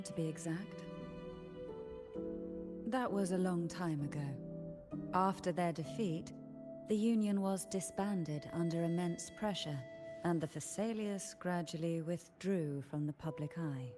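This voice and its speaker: Low-voiced, composed noblewoman voiced by an actress, over music. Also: natural voice. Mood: solemn, measured. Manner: measured, formal, faintly teasing storytelling. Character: composed, enigmatic noblewoman recounting grim old secrets. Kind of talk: scripted video game dialogue. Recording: game music behind the voice.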